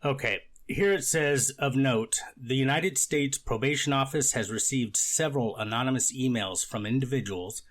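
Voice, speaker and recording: Man playing a formal, mid-pitched court official. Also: lightly acted. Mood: procedural, authoritative. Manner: measured, formal, methodical. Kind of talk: amateur readers theater, scripted legal reading.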